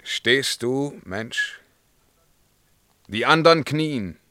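An imperious, brooding emperor in a verse radio play. The voice is forceful and ringing, slow and weighty, recorded dry and close. He declaims grandly, then sinks into tormented, hushed reflection. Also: medium pitch, expressive, no echo, full character voice. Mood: stern questioning. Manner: declamatory, swinging between command and hushed brooding.

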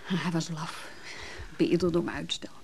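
A woman's voice: low-pitched, steady tone, measured pace, gravelly, darkish tone, a little hiss or hum. An actress playing a hurt, disillusioned woman in a radio play, nervy and brooding, speaking in hesitant, broken phrases. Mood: agitated, indignant. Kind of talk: naturalistic Dutch radio drama dialogue.

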